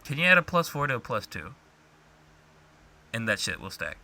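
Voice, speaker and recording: A man's voice: mid-range voice, very animated, moderate pace, sharp tone, slight background noise. A young adult man co-hosting a podcast in casual, argumentative banter, swearing freely as he talks. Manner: profane, argumentative. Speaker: podcast co-host.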